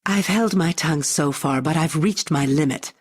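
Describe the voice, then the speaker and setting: A woman's voice: sits low, moderate pace, breathy edge. An actress playing a spoiled, luxury-loving female adventurer in a fantasy game, haughty and complaining. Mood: disdainful. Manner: disdainful, complaining.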